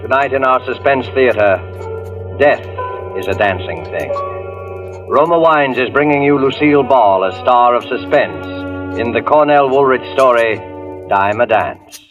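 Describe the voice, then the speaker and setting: A man announcing a 1940s suspense radio program in a measured, dramatic manner. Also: mid-range voice, animated. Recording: dull old radio recording, music behind.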